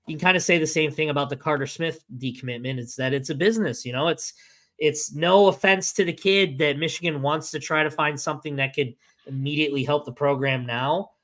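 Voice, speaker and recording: A man co-hosting a casual sports podcast, hoarse and losing his voice after being sick, talking in halting bursts full of restarts. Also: fairly high pitch, a bit bright. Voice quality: halting, many restarts and fillers. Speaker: podcast co-host.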